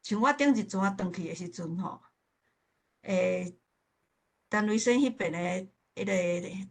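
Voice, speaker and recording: A woman's voice: medium pitch, measured pace. A woman from southern Taiwan with a southern Taiwanese accent, speaking casually and reminiscing as a participant in a forum discussion. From Pingtung, southern Taiwan.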